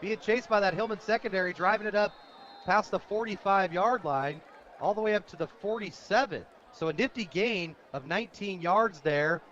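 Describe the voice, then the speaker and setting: An American man with a high voice announces a football game live on the radio. He works as the play-by-play caller, following the action as it happens. The broadcast sound is dull and lo-fi. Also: moderate pace, a bit bright, a little hiss or hum. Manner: reads sponsor plugs between plays.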